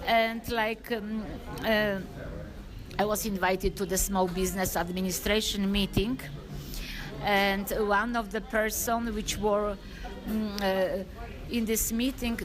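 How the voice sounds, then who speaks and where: A middle-aged woman with a Polish accent, answering interview questions haltingly with many fillers. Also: medium pitch. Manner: hesitant, many fillers, halting non-native phrasing. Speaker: interviewee, former business owner. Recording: crowd noise in background.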